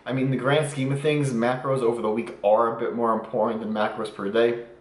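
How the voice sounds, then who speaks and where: A man's voice: mid-range voice, little pitch movement, a little fast. Upbeat young man in an echoey room. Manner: upbeat, explains nutrition while eating. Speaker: fitness vlogger. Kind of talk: casual food vlog. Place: small room at home, then home kitchen.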